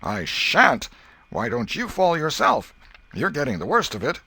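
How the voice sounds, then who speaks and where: A man's voice: a little high, wildly swooping pitch, measured pace, vocal fry, pushed and bright, slightly rough, voice pushed up, full character voice. A man voicing a defiant schoolboy in a storybook who plays a haughty outlaw foe, with stagy challenges and rustic protests. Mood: defiant, sulky.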